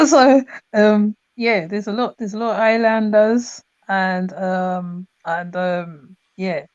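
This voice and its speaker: A woman's voice: mid-range voice, steady tone, slow pace, dry recording. A woman from Trinidad, chatting casually and rambling with many restarts and fillers. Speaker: host. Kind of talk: casual chat. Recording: dull lo-fi sound.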